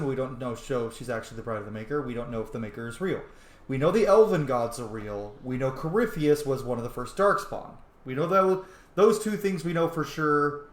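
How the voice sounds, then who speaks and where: A man's voice: medium pitch, roomy sound. A man giving casual, informal commentary on a game he is playing, with explanatory asides and some swearing. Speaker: gamer commentating a playthrough.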